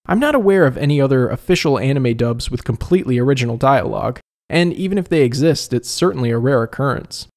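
Male review host, conversational scripted narration, wry, slightly gravelly. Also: mid-range voice, expressive, quick pace, hardly any pauses, darkish tone.

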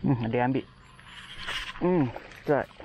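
A man with a Kelantanese Malay accent chatting casually through a fishing vlog, with quick excited exclamations, filmed outdoors on a riverbank with a body-worn action camera. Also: medium pitch, muffled recording, a little hiss or hum. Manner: chatty, excited exclamations while reeling fish. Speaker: fishing vlogger. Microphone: chest- or head-mounted action camera.

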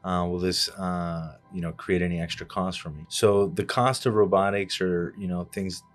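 Deep flat-voiced surgeon with fillers. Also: male voice. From Cuba, raised in Miami. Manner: measured, explanatory, many "uh" and "you know" fillers. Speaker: orthopedic surgeon, interview guest. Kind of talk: hospital podcast interview. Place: small studio set with plant and armchairs. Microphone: desk podcast microphone on arm.

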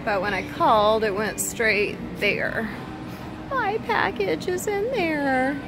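A woman filming a walking vlog through an empty mall on her phone, musing aloud in a wistful way with soft hums. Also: high-pitched, slow pace, noisy background. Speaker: vlogger. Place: inside an empty shopping mall. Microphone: phone camera held while walking.

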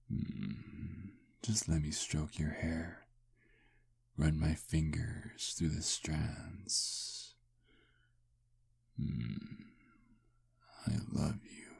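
A man voicing a seductive, possessive vampire prince in a breathy, intimate whisper for a romantic ASMR roleplay. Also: very deep voice, darkish tone, exaggerated voice. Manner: slow, intimate, murmuring.

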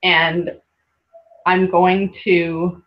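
A bubbly woman talks through a slideshow in a loose, chatty way, sprinkling in lots of "like" and quick laughs. Her voice sits a little low. The web call audio is dull and lo-fi. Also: flat delivery. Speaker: workshop host presenting slides. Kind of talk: casual webinar presentation.

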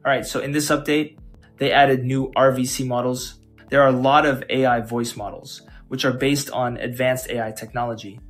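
A man hosting a tech channel, narrating a scripted software tutorial. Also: mid-range voice, steady tone, normal speed. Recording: music behind.

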